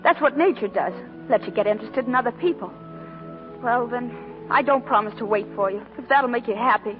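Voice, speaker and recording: A woman's voice: on the high side, a little fast, lightly acted. An actress playing an earnest, plainspoken young working woman in love, with an American accent, in 1940s radio style. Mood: hurt, defiant. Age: young adult. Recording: muffled old radio broadcast with music.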